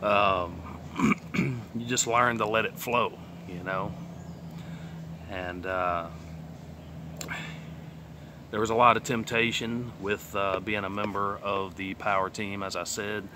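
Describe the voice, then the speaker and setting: Texan man, late thirties, low-set voice; loose, filler-heavy personal testimony told outdoors. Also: steady tone, takes it slowly, long pauses, noisy background. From Texas. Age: 30s. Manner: rambling, plainspoken, many fillers and trailing asides. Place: outdoors on a sunny day.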